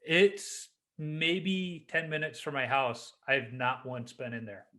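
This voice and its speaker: A man's voice: mid-range voice, little pitch movement, slow pace, sharp tone. A man hosting a podcast, chatting in an easygoing, supportive way with his guest. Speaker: podcast host. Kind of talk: casual podcast chat.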